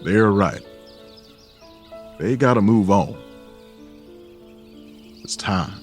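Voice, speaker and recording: A gentle, folksy friend. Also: male voice, on the low side, animated, faint music behind. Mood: resigned. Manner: soft, slow and folksy, calls people darling. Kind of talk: audio drama acting.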